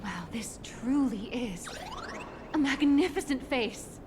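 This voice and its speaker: A woman playing a gushing, overfamiliar young corporate agent in a video game, breezy and quick to apologise. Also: high voice, expressive, a little mellow, slight background noise. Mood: starstruck gushing.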